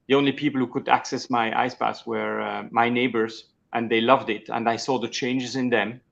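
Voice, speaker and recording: Halting Swiss-born man, gravelly voice. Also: medium pitch, a bit bright, muffled recording. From Switzerland. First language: Swiss German. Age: middle-aged. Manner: halting, with frequent restarts and fillers. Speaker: guest, coach. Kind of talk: conversational interview.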